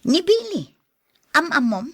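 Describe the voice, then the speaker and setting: Blunt young heroine played by a woman, Ilocano accent, fairly high voice, curt and exasperated, dry close sound. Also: sing-song, unhurried, no room sound, full character voice. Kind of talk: radio drama acting.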